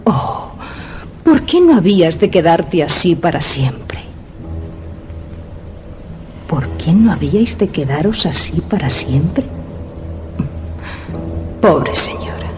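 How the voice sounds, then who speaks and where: A woman's voice: fairly low pitch, very animated, slow pace, long pauses, darkish tone, soft background music. An actress as a woman reading a storybook aloud. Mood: wistful. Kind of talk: radio drama storybook reading.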